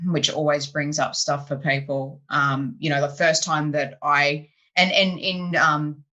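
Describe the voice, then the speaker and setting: A woman hosting an improv podcast, talking casually over a video call, chatty and animated, full of "you know" and "like". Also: sits low, measured pace. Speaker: podcast host and improv teacher. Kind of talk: casual interview chat.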